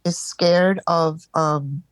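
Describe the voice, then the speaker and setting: A woman in a live therapy session over a call, speaking haltingly and searching for words, stuffed up from crying earlier. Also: sits low, fairly even intonation, clean tone, no room sound. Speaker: therapy client.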